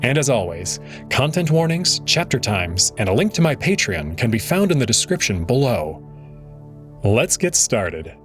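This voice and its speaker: A man's voice: mid-range voice, big pitch swings, moderate pace. Man presenting an intro over music. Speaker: channel host. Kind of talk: scripted video intro. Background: clear music.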